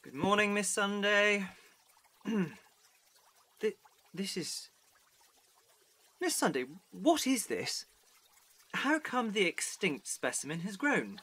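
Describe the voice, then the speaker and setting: A man's voice: high voice, very animated, slow, pauses often, pushed and bright, slight background noise, exaggerated voice. A man playing a fussy, officious botanist in an audio drama, formal and stiff but easily flustered. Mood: stern, alarmed. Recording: music behind the voice.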